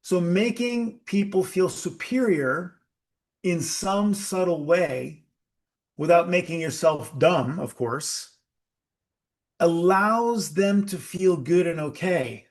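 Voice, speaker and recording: Workshop leader, male, slightly high voice, slow deliberate delivery, quizzes the room. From United States. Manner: slow, emphatic, asks the audience questions. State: sweating. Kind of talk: live group workshop talk.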